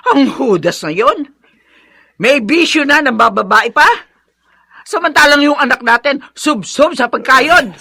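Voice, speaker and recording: A woman's voice: sits high, lively intonation, a little slow, vocal fry, bright, forceful tone, no echo, studio quality, full character voice. An actress playing a fiery old mother-in-law in a Filipino radio drama, with a Filipino accent. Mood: outraged. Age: older.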